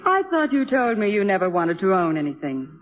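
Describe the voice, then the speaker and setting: An actress playing a cool, troubled young woman student, measured and cutting, in 1950s radio drama style. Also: medium pitch, sing-song, lightly acted. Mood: cool, candid. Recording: tinny, narrow old radio broadcast.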